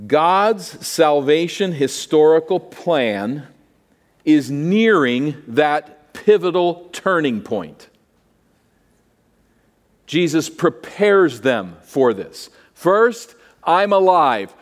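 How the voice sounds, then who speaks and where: A man's voice: on the high side, lively intonation, very slow. Male preacher in a reverberant hall. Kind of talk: expository sermon.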